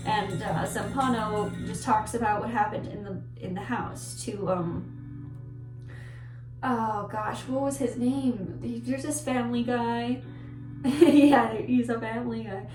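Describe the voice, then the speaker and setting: Casual female vlogger, first language Polish, rambling and self-correcting, fairly high voice, distant mic in a roomy space. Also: slow pace. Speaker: book vlogger. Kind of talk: casual book talk to camera. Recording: echoey room with mic at a distance and music behind.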